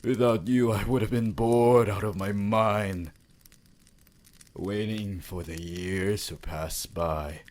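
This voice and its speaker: Man acting as deep, slow ancient vampire lord. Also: steady tone, a little mellow. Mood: joyful, devoted. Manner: formal, theatrical, grandly romantic. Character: ancient, courtly male vampire lord, devoted and romantic. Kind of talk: ASMR roleplay voice acting.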